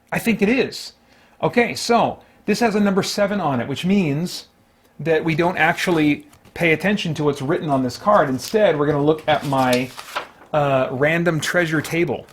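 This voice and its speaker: A man hosting a solo tabletop game, talking casually and thinking aloud in a rambling way as he plays. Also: on the high side. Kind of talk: casual game play-through commentary.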